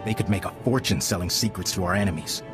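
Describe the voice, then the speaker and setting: An actor playing a grave government agent in a video game, speaking in a formal, official manner. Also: male voice, low voice, fast talker, loud music behind the voice, natural voice. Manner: serious, official. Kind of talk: video game character acting.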